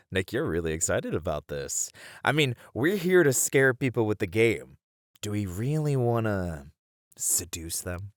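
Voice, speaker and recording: A man's voice: lowish voice, big pitch swings, measured pace, dry recording. A man playing a hesitant but intrigued game developer in a conversational ASMR roleplay, casually thinking aloud on a close mic. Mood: amused, doubtful. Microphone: close studio mic.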